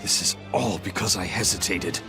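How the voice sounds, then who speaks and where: An actor playing a gruff, brooding pirate with a grave, terse manner in a video game cutscene. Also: male voice, sits low, normal speed. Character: brooding, gruff pirate man haunted by a cursed past. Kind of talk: English-dubbed video game cutscene acting. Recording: dramatic game music behind the voice.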